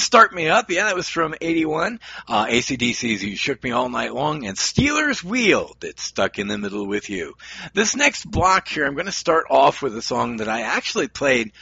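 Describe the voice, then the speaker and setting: Gravelly, rambling male radio DJ. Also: a little high, pushed and bright, muffled recording. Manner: rambling, with many fillers and restarts. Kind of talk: casual radio show chat.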